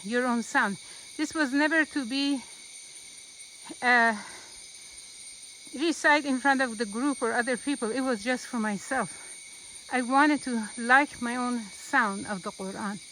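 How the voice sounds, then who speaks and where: Woman, somewhat high voice, earnest, unhurried, outdoor trail, faint insect hum behind. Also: fairly bright tone, low-quality recording. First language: not Arabic. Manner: earnest, unhurried, repeats phrases for emphasis. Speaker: Quran teacher. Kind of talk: walking vlog talk.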